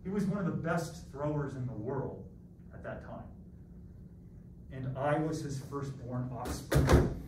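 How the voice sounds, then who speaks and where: A man's voice: medium pitch, measured pace, long pauses. Echoey, far-off American man teaching. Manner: animated, self-deprecating, digressive, theatrical. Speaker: college math teacher. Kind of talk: classroom lecture with rambling asides. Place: classroom, masked, in front of students. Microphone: far camera at back of room. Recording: roomy echoey classroom, distant mic, background noise.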